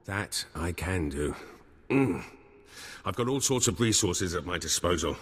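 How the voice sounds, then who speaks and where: A ruthless yet honourable military spymaster for a video game. His voice is very low and rough-edged. He talks in a grave, resigned way, with long reverb around him. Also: expressive, a little slow, heavily acted. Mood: resigned, pained. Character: gruff male army captain turned spy handler, ruthless but honourable.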